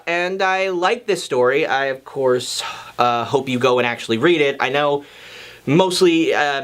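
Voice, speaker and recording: A man hosting a video channel, talking casually to his viewers in a rambling, halting way as he thinks aloud. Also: mid-range voice, fairly bright tone. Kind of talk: casual outro addressed to viewers.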